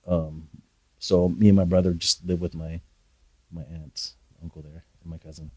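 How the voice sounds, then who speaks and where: A man guest on a podcast, telling his childhood story in a soft, hesitant way with many pauses. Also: low-pitched, flat delivery, breathy edge, no room sound. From Philippines. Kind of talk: reflective personal storytelling.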